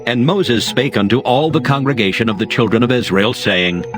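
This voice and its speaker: A man narrating scripture in a steady, formal storytelling manner. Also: mid-range voice, normal speed. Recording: soft music underneath.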